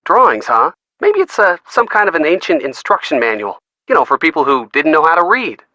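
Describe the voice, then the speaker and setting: A playful young American friend from a mystery game, quick with wry asides. The voice is bright and forward, and the pitch swings freely in a lively, conversational way. It is recorded very dry. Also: male voice, no echo, full character voice. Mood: playful, intrigued. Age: young adult. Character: wisecracking, upbeat young friend of a detective. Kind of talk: video game dialogue acting, conversational phone chat.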